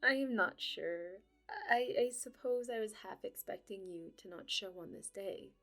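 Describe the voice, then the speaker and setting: A woman's voice: mid-range voice, moderate pace, a bit bright, soft background music, close to an everyday voice. A woman playing an anxious, lovelorn young princess bride in a romantic audio roleplay, speaking formally and hesitantly. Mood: nervous pleading. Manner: formal regal phrasing, hesitant, breathy pleading.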